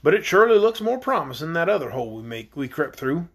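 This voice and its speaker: An old sailor man from a storybook, played by a reader. He talks in a folksy, deliberate way with a slightly husky edge. He is kindly but cautious and a bit doleful. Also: mid-range voice, very animated. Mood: hopeful. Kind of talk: acted storybook character.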